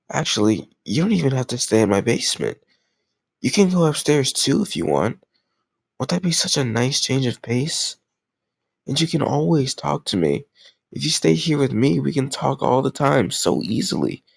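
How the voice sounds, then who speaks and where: Creaky-voiced, doting lovesick kidnapper played by a man. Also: medium pitch, dry recording, full character voice. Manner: earnest, gently reassuring, awkwardly doting, conversational. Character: sweet, lovesick, obsessive male kidnapper comforting a captive crush. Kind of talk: intimate audio roleplay acting.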